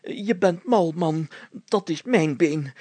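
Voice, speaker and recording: A man's voice: on the high side, slow, a little mellow, dry recording, voice pushed up. A man voicing a fussy, bossy village mayor in a storybook, given to wordy, indignant grumbling.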